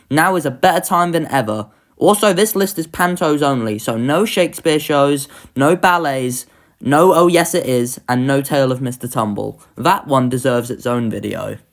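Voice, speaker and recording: Deep female voice, animated intonation, casual commentary, sardonic, informal, opinionated host. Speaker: video host ranking children's shows.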